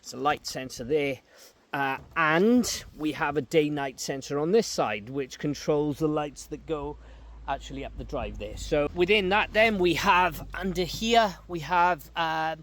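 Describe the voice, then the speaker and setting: A man presenting as a repair technician in an informal explainer, going step by step with halting pauses while filming outdoors. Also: fairly high pitch, a bit bright. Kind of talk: informal explainer vlog from a job. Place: outdoors beside an electric gate.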